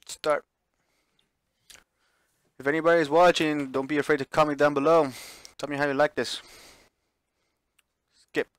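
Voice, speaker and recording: A man's voice: a little high, level tone, measured pace, pauses often, smooth, no room sound, studio quality. A man streaming a visual novel, chatting casually as he plays and reading the text aloud, sometimes stumbling and correcting himself.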